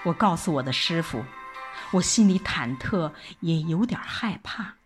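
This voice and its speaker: Slow, expressive woman narrating over music. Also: fairly low pitch. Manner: expressive, reflective recitation with deliberate pauses. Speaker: audio drama narrator. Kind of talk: dramatic scripted first-person narration. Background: background music.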